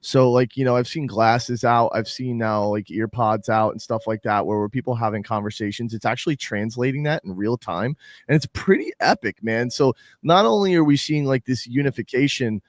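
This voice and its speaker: A man's voice: medium pitch, moderate pace, no room sound, studio quality. A man co-hosting a casual podcast chat, talking in long rambling, enthusiastic runs.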